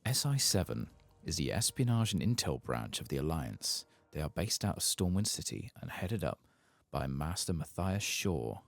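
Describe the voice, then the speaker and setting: A man softly reading out the lines of a guarded, calculating fantasy spymaster in a game. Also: rumbling low, very animated, normal speed, vocal fry, hardly any pauses, full character voice. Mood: guarded, concerned. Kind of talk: soft-spoken reading of game quest text.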